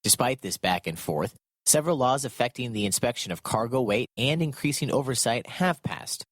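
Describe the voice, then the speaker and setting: A man reporting and narrating a scripted radio news piece in a measured, formal read. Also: mid-range voice, no room sound.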